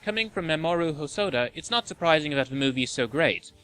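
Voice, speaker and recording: Bright, animated man presenting a list show. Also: on the high side, quick pace, clean tone, faint music behind. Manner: wry, tongue-in-cheek. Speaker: host of a video game and movie show. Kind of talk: scripted list-show narration.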